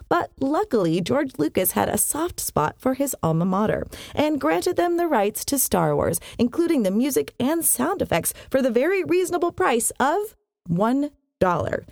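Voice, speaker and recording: A woman narrates as a podcast host. Her delivery is scripted and very animated in pitch, with wry little asides. The sound is close and dry, with very little room to it. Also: creaky, dry recording. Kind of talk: scripted narration of science fiction history.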